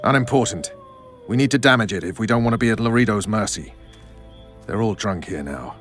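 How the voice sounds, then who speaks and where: A man's voice: lowish voice, lively intonation, brisk, a bit bright, soft background music. A man voicing a proud, roguish military officer, commanding and sardonic, in a video game. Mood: sly, urgent.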